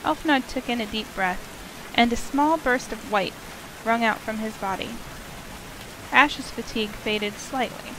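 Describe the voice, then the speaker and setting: High-pitched woman narrating a story. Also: measured pace, noisy background. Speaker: narrator, game player. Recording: loud music and rain behind. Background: game music and rainfall.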